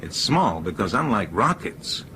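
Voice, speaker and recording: An actor in a radio drama playing a cool, persuasive ringleader who speaks in an assertive, matter-of-fact way. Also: male voice, fairly low pitch, sing-song, measured pace, noisy background. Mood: explaining. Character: cool, persuasive male ringleader plotting a takeover.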